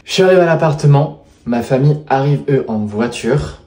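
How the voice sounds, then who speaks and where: A man's voice: medium pitch, expressive, brisk, warm tone. A man casually talking to camera as he walks through an apartment he is renovating, explaining as he goes. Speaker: renovation vlogger. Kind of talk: casual walkthrough talk to camera. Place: apartment indoors.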